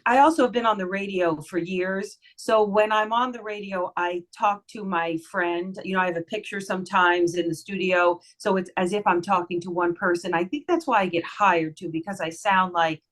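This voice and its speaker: A woman's voice: moderate pace, few pauses, rough recording. Chatty smiling-voiced woman voice actress. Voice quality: lower voice for a woman. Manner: chatty, friendly, giggly, smiling tone. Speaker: voice actress guest. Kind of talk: casual podcast interview.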